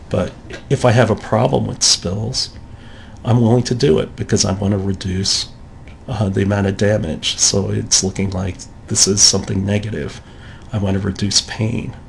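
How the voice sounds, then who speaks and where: A man's voice: fairly low pitch, vocal fry, slight background noise. A man giving a recorded college lecture in a conversational way, acting out the thoughts of shoppers in quotes.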